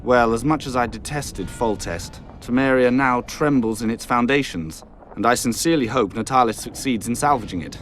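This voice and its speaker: A man's voice: medium pitch, moderate pace, a bit bright, faint music behind, natural voice. An actor playing a proud, bitter nobleman of royal blood in a video game, formal and sardonic in manner. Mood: wry, proud. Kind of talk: video game cutscene dialogue.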